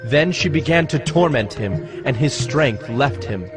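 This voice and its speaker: A man's voice: medium pitch, animated, measured pace. A man giving scripted narration for a dramatized scripture recording, with music behind him.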